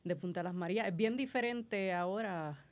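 A woman from Puerto Rico with a Puerto Rican accent, a nonprofit founder speaking as a radio guest in a friendly, quick way. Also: medium pitch. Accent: Puerto Rican Spanish.

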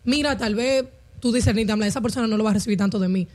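A woman's voice: on the high side, quick pace, a little mellow. A woman talking casually in a group discussion about faith.

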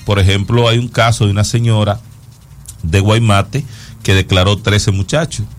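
A man with a fairly low voice and a Dominican accent talks through the news as a show host. He explains in a conversational way and repeats words to press his point. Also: steady tone, normal speed, a little hiss or hum.

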